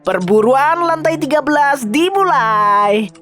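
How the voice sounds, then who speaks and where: A woman's voice: high voice, animated, slow pace, a bit bright, soft background music, full character voice. A woman voicing a mischievous, curious young boy in a children's storybook, exclaiming playfully. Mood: determined. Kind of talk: children's storybook character acting.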